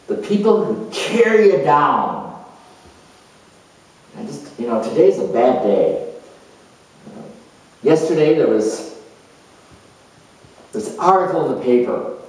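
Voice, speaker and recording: A man acting out a vain, self-important company boss in a solo stage dramatization, theatrical and grandiose with dramatic pauses. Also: on the high side, a little mellow, exaggerated voice. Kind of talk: solo astrological character dramatization. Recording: echoey room, distant microphone.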